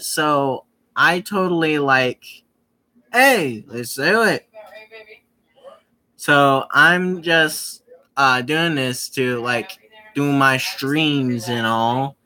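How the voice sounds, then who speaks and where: Male streamer, casual visual novel commentary, very slow delivery, sharp bright tone, stumbles and repeats himself. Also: fairly high pitch. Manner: rambling, stumbling over words and repeating phrases.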